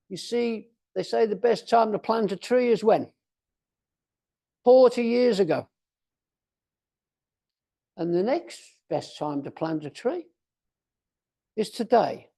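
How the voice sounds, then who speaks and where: Old guy around 80 doing a motivational talk, mellow creaky voice, big dramatic pauses and repeats stuff for effect. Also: high voice. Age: about 80. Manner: theatrical, emphatic, with deliberate pauses and repetition. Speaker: motivational speaker. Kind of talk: motivational speech to a club audience.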